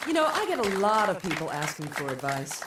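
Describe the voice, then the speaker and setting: A woman's voice: mid-range voice, very animated, fairly quick, close to an everyday voice. An actress playing a sanctimonious female TV evangelist who preaches wifely advice with emphatic, theatrical repetition. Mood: folksy, confiding. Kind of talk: theatrical televised sermon. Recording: distant roomy echo with crowd noise.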